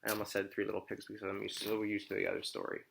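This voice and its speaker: A man reading a story aloud as a teacher, phrasing it deliberately and simply for children and pausing to ask comprehension questions. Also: lowish voice, completely flat delivery, vocal fry, background noise.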